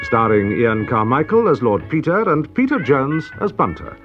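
A man's voice: medium pitch, big pitch swings, moderate pace. A man reading scripted radio drama narration as the announcer. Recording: slightly dull old radio recording. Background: faint music.

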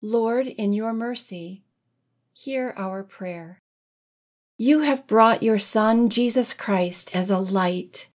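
Woman slowly leading prayer. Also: mid-range voice. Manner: slow, gentle, deliberate prayer leading. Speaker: music director leading prayer. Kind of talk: led liturgical prayer.

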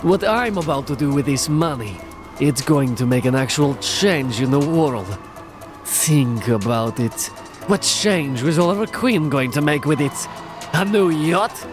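A man playing a smug, theatrical supervillain in an audio drama, with a taunting, drawn-out delivery. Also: on the high side, lively intonation, music in the background. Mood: smug, preachy. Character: smug, theatrical male supervillain with grand schemes.

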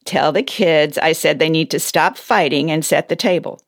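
A woman playing a patient, low-voiced elf boss. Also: no room sound, clean studio sound. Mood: brisk, wry. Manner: patient, measured, gently wry. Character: patient, caring female elf personnel boss, a busy mother. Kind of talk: audio play acting.

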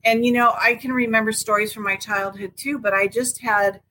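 A woman co-hosting a podcast in casual chat, talking hesitantly with many pauses and ums. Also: a little high, steady tone, slight background noise, low-quality recording. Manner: hesitant, many ums, soft-spoken openness. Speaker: podcast co-host.

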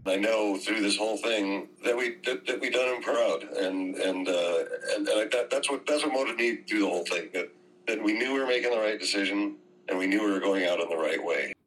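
A man giving spontaneous interview answers, halting and repeating words as he searches for phrasing. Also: lowish voice, fairly bright tone, low-quality recording. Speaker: interviewee, theater operator.

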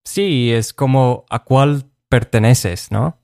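An agreeable co-host keeps the chat moving with questions, speaking at a slow, easy pace. The voice is smooth and clear, and the recording is dry and close. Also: mid-range voice, darkish tone, no room sound. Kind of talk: casual conversational chat.

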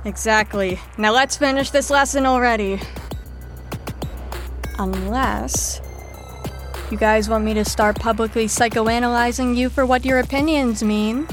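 A woman's voice: on the high side, steady tone, unhurried, pauses often, faint music behind, lightly acted. An actress in an audio drama playing an exasperated, sardonic young woman who leads a group lesson in a weary, lecturing manner. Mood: weary sarcasm.

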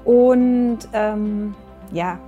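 A woman's voice: medium pitch, extremely slow, mellow, muffled recording, soft background music. A woman of forty, a nutrition coach talking about her work in a friendly, matter-of-fact way. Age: 40s. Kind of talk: recruiting video interview.

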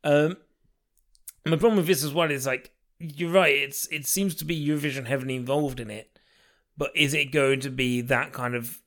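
A man's voice: medium pitch, steady tone, normal speed, fairly bright tone. Wry rambling man co-hosting a podcast. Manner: wry, rambling opinions with many fillers. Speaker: podcast co-host. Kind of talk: casual podcast chat.

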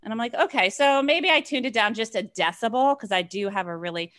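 An energetic woman in her forties, chatting as a podcast guest. She gets loud and animated when she is excited, and she tells her stories with a lot of eager enthusiasm. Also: on the high side, fairly quick. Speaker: podcast guest, marketing executive. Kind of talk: casual interview chat.

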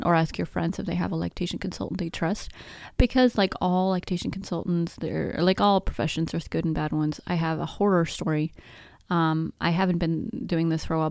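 A woman's voice: on the low side, gravelly, a little mellow, no room sound, clean studio sound. A woman in her thirties whose first language is Spanish, hosting a podcast and giving chatty, self-deprecating advice on baby sleep. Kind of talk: casual advice talk.

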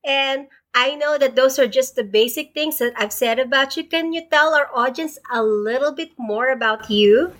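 A woman hosting a podcast, welcoming her guest with excitement. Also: high voice, moderate pace, few pauses. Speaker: podcast host. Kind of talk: podcast interview.

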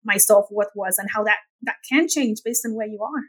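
A woman's voice: mid-range voice, fairly quick, breathy edge. A woman in her thirties from Nigeria with a Nigerian accent, speaking earnestly as an interview guest and often checking in with "right?". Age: 30s. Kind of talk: reflective interview talk.

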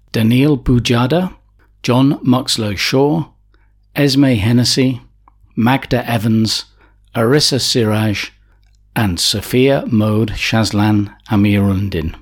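Man, medium pitch, slightly rough husky tone, announcer, scripted narration. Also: takes it slowly.

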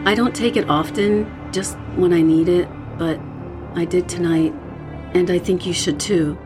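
A woman's voice: fairly low pitch, fairly even intonation, a little slow, close to an everyday voice. A woman playing an anxious young friend in a horror audio drama, hesitant and consoling, over scary music. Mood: soothing, subdued. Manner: hesitant, soothing.

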